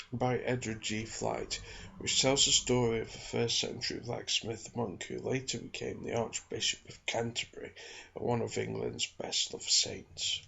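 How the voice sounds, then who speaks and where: A man speaking softly in an ASMR style, as a video creator chatting and reading from a book. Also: on the low side, creaky, slightly rough, muffled recording. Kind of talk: soft-spoken ASMR, informal chat and book reading.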